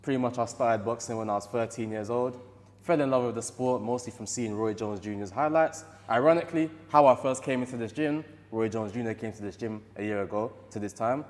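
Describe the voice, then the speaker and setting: A man's voice: medium pitch, a little fast, a little mellow, long reverb tail. A man in his twenties with an East London accent, chatting casually as a podcast guest, laid-back and chatty, often adding "you know what I mean". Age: 20s. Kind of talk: casual chat. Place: boxing gym.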